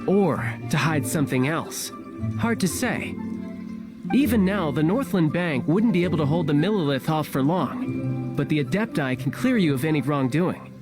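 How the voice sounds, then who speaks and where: A man playing a charming, sly young villainous diplomat in a video game, breezy, teasing and smoothly persuasive. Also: on the high side, animated, normal speed, lightly acted. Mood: grave, persuasive. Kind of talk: video game character acting. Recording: music behind the voice. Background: game music.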